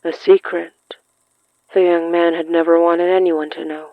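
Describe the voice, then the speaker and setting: Slow, dry-sounding narrator with dramatic pauses. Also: medium pitch, level tone, no room sound, phone-quality sound. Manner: measured, dramatic pauses in short phrases. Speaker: narrator of a horror fiction podcast. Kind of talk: scripted literary narration, storytelling.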